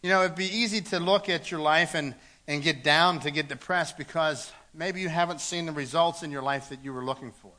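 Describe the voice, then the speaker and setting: A man's voice: fairly high pitch, brisk, hardly any pauses, sharp tone. A man preaching a sermon in a church, earnest and repeating words as he goes, reading scripture aloud. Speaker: pastor.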